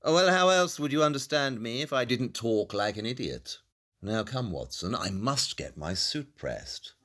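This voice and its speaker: A man's voice: medium pitch, sing-song, moderate pace, fairly bright tone. A man playing a brilliant, aloof detective in an audio play, with a British accent and precise, pompous speech. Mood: dry, superior. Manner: precise, pompous, clipped deduction. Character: brilliant, aloof, arrogant male London detective.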